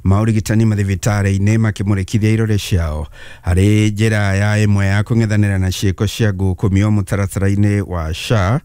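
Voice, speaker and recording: A man reading the news as a radio anchor, in a measured, formal delivery. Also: lowish voice, level tone, a little mellow, smooth, no room sound, studio quality. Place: radio studio.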